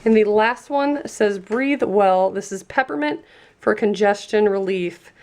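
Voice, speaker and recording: Female reviewer, congested and stuffy, friendly enthusiastic unboxing delivery, some gravel in the voice. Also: medium pitch, measured pace, a little mellow. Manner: chatty and enthusiastic. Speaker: product reviewer.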